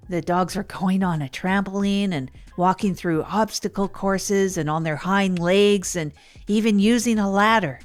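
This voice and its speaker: A woman's voice: fairly low pitch, close to an everyday voice. Audio drama lead, acted by a woman: earnest female radio host, hesitant, chatty, self-deprecating, faint music underneath. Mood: chatty, reflective. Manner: hesitant, rambling, chatty, chuckles at herself. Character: earnest, self-effacing woman recounting her misadventures on radio. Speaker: narrator and radio show host. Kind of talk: improvised first-person audio drama monologue.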